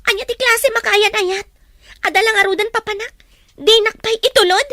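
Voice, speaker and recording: A woman's voice: very high-pitched, moderate pace, pushed and bright. A woman playing a jilted young woman, hurt and bitter, in an Ilocano radio drama with an Ilocano accent. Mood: pleading, upset. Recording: very noisy recording with hum.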